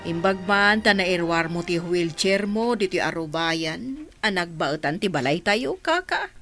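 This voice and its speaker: A woman's voice: fairly low pitch, expressive, measured pace, fairly bright tone, soft background music. An actress playing a resigned, affectionate older woman in a radio drama, with a sighing, plaintive manner.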